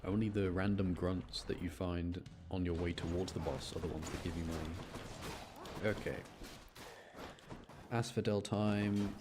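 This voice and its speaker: A man's voice: sits low, fairly even intonation, unhurried, pauses often, heavy background noise. A man giving casual, chatty live commentary as he streams a video game, talking to his viewers. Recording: music behind the voice.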